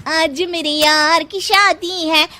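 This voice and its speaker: A rowdy, drunken young bride in a cartoon. Her voice is extremely high and bright, carefree and exclaiming, with slow playful delivery. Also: fairly even intonation, heavily acted. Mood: rowdy, celebrating. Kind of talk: Hindi cartoon voice acting.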